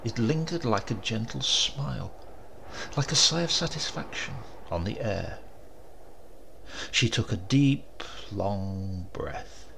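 A man narrating a scripted story as an audiobook reader, with deliberate, theatrical phrasing. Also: lowish voice, lively intonation, vocal fry, sharp tone, slight background noise, low-quality recording.

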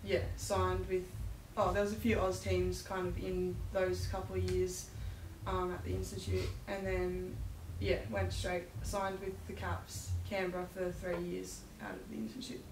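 A woman's voice: lowish voice, monotone, unhurried, few pauses. A young Australian woman from a rural area, a guest athlete answering interview questions modestly and hesitantly, with many fillers. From rural Victoria, Australia. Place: small podcast lounge room, indoors. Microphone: studio desk mic with headphones. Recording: roomy, distant-miked, noisy room.

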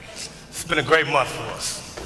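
A man's voice: fairly high pitch, fairly even intonation, measured pace, pushed and bright, a little hiss or hum. An actor playing a joking businessman who teases his friend, recorded live on a theater stage. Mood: teasing. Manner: joking, teasing. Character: joking businessman cousin, teasing. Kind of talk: live stage play acting. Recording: echoey theater hall from far off.

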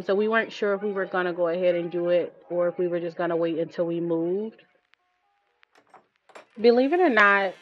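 A woman's voice: fairly low pitch, fairly bright tone, lo-fi sound. A woman in her thirties, a mother vlogging, talking candidly and chattily with many ums. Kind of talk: casual voice-over chat.

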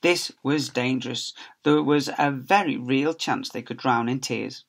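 A man's voice: medium pitch, a little slow, gravelly. A man in his fifties reads a children's story with expression, like a teacher to a class. He is recorded indoors at desk distance in a small office. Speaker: teacher reading a story to pupils. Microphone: laptop or webcam at desk distance.

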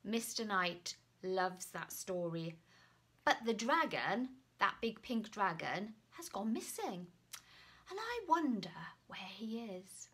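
An unhurried woman in her forties presents a picture book to children. Her delivery is expressive and very varied in pitch, often hushed, with questions put to young listeners. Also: breathy edge. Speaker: children's author and storyteller. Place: small room at home.

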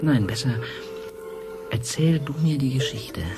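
An actor playing a tender, mysterious male lover in an intimate radio drama, slow and pleading. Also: medium pitch, music in the background, close to an everyday voice. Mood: gently pleading.

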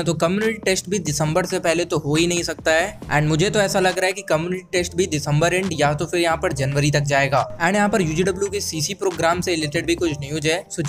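A man from India with an Indian accent hosts a casual gaming news voice-over, mixing in English words as he goes. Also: fairly high pitch. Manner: code-switches between Hindi and English. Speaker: gaming channel host. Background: background music.

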